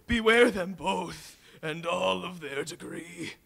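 A man's voice: high-pitched, animated, slow, creaky, exaggerated voice. A man playing a solemn, dying Christmas spirit in a live stage play. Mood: grave. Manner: solemn, then anguished shouting. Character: gaunt dying male Christmas Present spirit. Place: large hall with audience.

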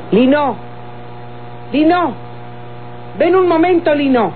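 A woman's voice: low voice, full character voice. A woman playing a scheming, domineering young Mexican villainess in a radionovela, scolding and commanding with dramatic delivery. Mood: urgent. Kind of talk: Mexican golden-age radionovela acting. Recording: tinny old radio with clear hum.

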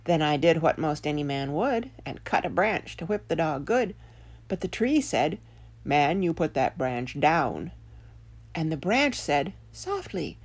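A woman voicing an excitable, easily spooked male farmer in a children's tale, sounding exasperated. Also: deep voice, unhurried, heavily acted. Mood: agitated.

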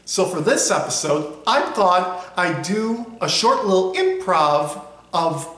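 A man's voice: high-pitched, very animated, slow pace, a bit bright. A young man talking casually and cheerfully to a webcam from a small room. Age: young adult. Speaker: vlogger. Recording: roomy echo in a small room.

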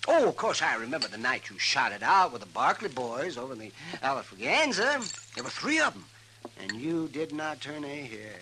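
An actor playing a crusty, teasing frontier doctor who mutters and chuckles, in a 1950s radio western. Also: male voice, medium pitch, sing-song, normal speed, sharp tone. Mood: gleeful teasing. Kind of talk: 1950s radio drama acting. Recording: vintage 1950s radio recording, faint noise.

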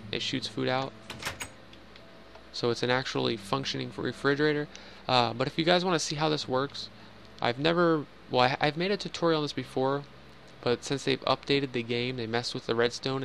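A young man with a gravelly edge talks through a game build tour, loose and rambling, full of ums and self-deprecating asides. His pitch sits in an ordinary middle range, and faint music plays quietly underneath. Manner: rambling, self-joking, filler-heavy. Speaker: Minecraft builder giving a house tour. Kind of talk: casual gameplay voice-over.

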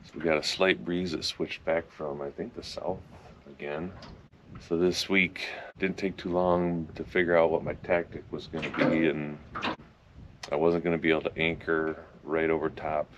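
Man in his fifties, very low voice, easygoing fishing-trip narration, recorded outdoors on a camera in a boat with clear lake and wind noise. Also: normal speed, lo-fi sound. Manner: relaxed, matter-of-fact, upbeat when a fish bites. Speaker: fishing vlogger. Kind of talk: casual vlog narration. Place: small aluminum boat on an open lake, outdoors. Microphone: action camera mounted in the boat.

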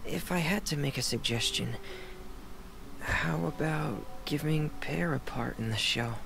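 A man voicing a shy, soft-spoken young boy in a game, hesitant and gentle. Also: on the high side, a little slow, vocal fry, background noise. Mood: shy, tentative. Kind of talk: English game voice acting. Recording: game trailer with music.

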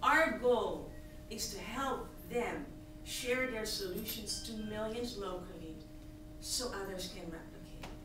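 A woman in her thirties from Tanzania, giving an earnest, emphatic pitch as a nonprofit founder from a stage podium. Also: slow pace. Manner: earnest, emphatic, gesturing at slides. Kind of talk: prepared presentation speech. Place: large hall, on stage at a podium. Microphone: podium mic, recorded by a far audience camera. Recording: echoey hall from far off, hissy.

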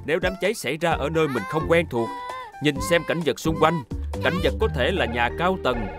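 A woman voicing a calm, caring heroic rescuer in an animated children's cartoon, instructive and reassuring, with music underneath. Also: low-pitched, fairly quick, lightly acted.

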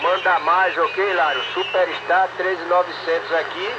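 A man's voice: high voice, steady tone, measured pace, lo-fi sound, heavy background noise. A Brazilian man chatting casually as an amateur radio operator, enthusiastic and folksy, full of slang and jargon. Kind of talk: casual radio contact chat with running commentary.

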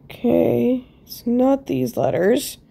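A woman's voice: fairly high pitch, slow pace, creaky, mellow, slightly rough. A woman with a stuffed-up nose casually thinks aloud over a puzzle game, a little self-deprecating. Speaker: player commenting on own gameplay.

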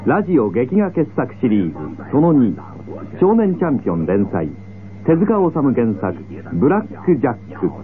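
A male announcer played by an actor presents a radio serial with scripted, animated delivery. His mid-pitched voice comes through a tinny, narrow old recording. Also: normal speed, close to an everyday voice. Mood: dramatic, formal.

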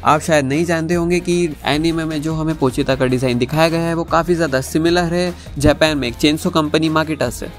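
A man's voice: fairly high pitch, fairly even intonation, brisk, few pauses. A man narrating a review as a YouTuber, conversational, mixing in English phrases. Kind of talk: review narration with plot recap. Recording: music under the voice. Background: background music.

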